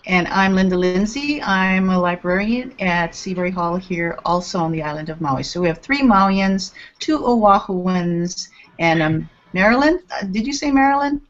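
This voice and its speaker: A woman's voice: fairly low pitch, animated, moderate pace. An older woman casually hosting an online panel over a headset on a video call. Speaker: host, school librarian. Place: indoor room. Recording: dull lo-fi video call audio.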